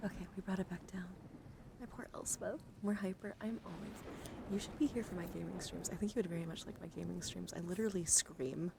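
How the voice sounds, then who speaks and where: Creaky-voiced woman streaming ASMR, noisy background. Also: on the low side, brisk. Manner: drifts between hushed whispering and soft speech; playful, giggly, easily sidetracked. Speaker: live streamer hosting an ASMR card stream. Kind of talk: ASMR and casual chat to viewers. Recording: clearly audible background noise.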